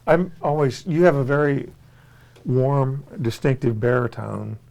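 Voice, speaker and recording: A male host interviewing a musician in a friendly, curious way, quick to laugh. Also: mid-range voice, gravelly, a little mellow. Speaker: radio show host. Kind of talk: casual interview chat.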